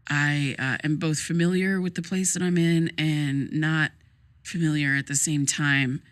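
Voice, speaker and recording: An actress playing a troubled, grieving young woman in a naturalistic audio drama, speaking in a hesitant, halting, casual way. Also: low voice. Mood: uneasy. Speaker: therapy client in audio drama.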